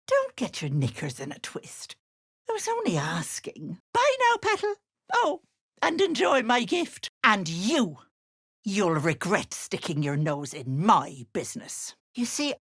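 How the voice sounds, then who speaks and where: An actress playing a sly old hag who poses as a sweet auntie in a video game, cooing and wheedling with menace underneath. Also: female voice, medium pitch, heavily acted. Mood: aggrieved, menacing. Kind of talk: theatrical video game character acting.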